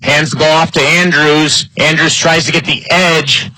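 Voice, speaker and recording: A man's voice: steady tone, moderate pace, pushed and bright, no echo, poor audio. An American man working as a play-by-play announcer, delivering live American football commentary. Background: live high school football game broadcast.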